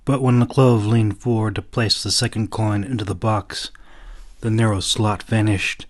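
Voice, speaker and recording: A man reading scripted fantasy narration as the author of an audiobook. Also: lowish voice.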